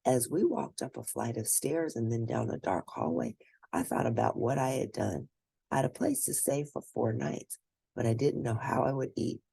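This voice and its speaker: Husky woman reading a story aloud. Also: very deep voice, animated, normal speed, warm tone, no room sound, low-quality recording. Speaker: read-aloud narrator. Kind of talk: scripted storybook reading in first person.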